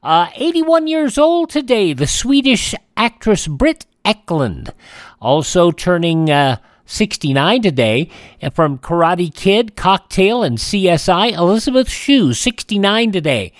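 Man hosting radio with lively pitch swings. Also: measured pace, no room sound, studio quality. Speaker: radio show host. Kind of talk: radio almanac narration.

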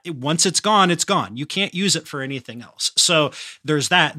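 A man's voice: fairly high pitch, sharp tone, no echo, studio quality. A man hosting an interview podcast, talking conversationally in an emphatic, articulate, explanatory manner. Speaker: podcast host.

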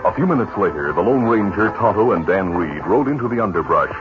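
A man's voice: deep voice, expressive, fairly quick. A male narrator giving dramatic, scripted radio storytelling, in a muffled old broadcast recording with music behind him. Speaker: narrator and announcer.

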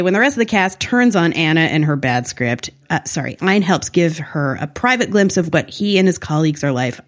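Brief: female podcast host, a little low in pitch, wry conversational recap style. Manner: wry, amused.

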